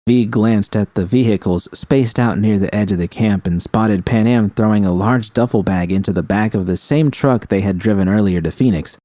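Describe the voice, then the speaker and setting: Casting: male audiobook-style narrator, low mellow voice, scripted fiction, very dry recording. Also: normal speed, few pauses, no room sound.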